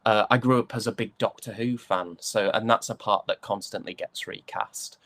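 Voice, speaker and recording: A man from Yorkshire with a softened British accent, chatting reflectively and hesitantly as an interview guest. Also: fairly low pitch, fairly even intonation, moderate pace. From Yorkshire, England. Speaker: interview guest, stage and voice actor. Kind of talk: casual interview chat.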